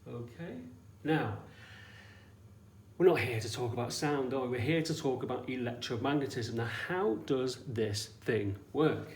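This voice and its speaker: A man's voice: medium pitch, lively intonation, a little slow, a little mellow. A man teaching a hands-on science demonstration in an informal, explanatory way, often checking that listeners follow with short questions. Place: indoors in an echoey room. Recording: echoey room with faint background noise.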